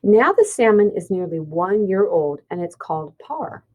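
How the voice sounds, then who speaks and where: A woman's voice: mid-range voice, big pitch swings, normal speed, darkish tone, rough recording. A woman teacher reading a children's science book aloud, explaining and pointing things out simply.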